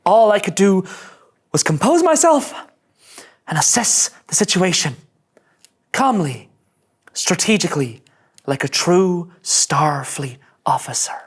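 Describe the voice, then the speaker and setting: Nervous young ensign, high voice, very animated, earnest and overdramatic, cartoon sci-fi. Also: male voice, takes it slowly, long pauses, breathy edge, full character voice. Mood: brooding narration. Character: anxious, earnest, by-the-book young male starship ensign. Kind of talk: animated sci-fi comedy table read.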